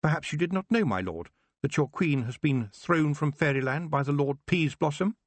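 Young witch girl, played by a man: resolute, defiant, emphatic, raised pitch, fantasy audiobook. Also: lively intonation, moderate pace, no room sound. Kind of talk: audiobook character voice.

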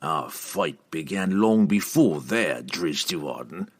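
A man voicing a cold, taunting assassin with a slow, purring, menacing delivery in a fantasy audiobook. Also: deep voice, very animated, a bit bright, slightly rough, heavily acted. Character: sinister, cold, taunting human male assassin.

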